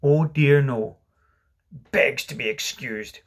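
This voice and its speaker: A man's voice: high voice, very animated, fairly quick, breathy edge, voice pushed up, exaggerated voice. A man voicing a pompous, self-pitying middle-aged father in a storybook, melodramatic, stern and sarcastic. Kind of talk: storybook character voice.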